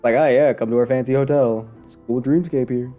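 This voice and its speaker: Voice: medium pitch, fairly even intonation, brisk, mellow, faint music behind. A streamer giving casual, slangy commentary over a video game in a sarcastic, questioning manner. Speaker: live streamer.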